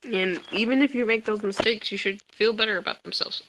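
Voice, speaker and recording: A young woman hosting a casual podcast while gaming, with a loud voice, playful swearing and trash talk. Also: mid-range voice, brisk, background noise. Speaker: podcast host.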